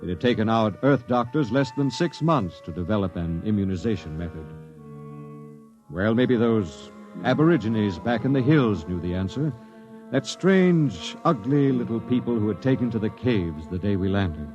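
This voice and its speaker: An actor playing a doomed space expedition captain, narrating gravely in a 1950s radio drama, recorded as an old radio broadcast. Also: male voice, lowish voice, animated, highs cut off, music in the background, close to an everyday voice. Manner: grave, measured narration turning sharp and bitter.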